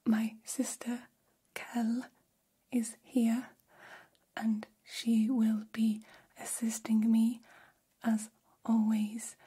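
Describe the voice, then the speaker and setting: A woman voicing a gentle, formal alien caretaker in an ASMR roleplay, whispering softly with slow, deliberate phrasing and long pauses. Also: a little high, full character voice. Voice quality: soft, breathy whisper. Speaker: ASMR performer. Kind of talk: ASMR roleplay, personal attention.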